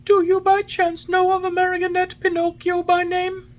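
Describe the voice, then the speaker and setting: Woman as high-voiced helpful storybook pigeon. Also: completely flat delivery, moderate pace. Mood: inquiring, calling out. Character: large male talking pigeon, helpful and matter-of-fact.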